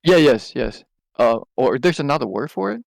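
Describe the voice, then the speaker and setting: Gravelly man. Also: mid-range voice, lively intonation, moderate pace, no room sound, low-quality recording. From Taiwan. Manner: rambling, with many restarts and hesitant fillers. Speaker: podcast co-host. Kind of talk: casual chat.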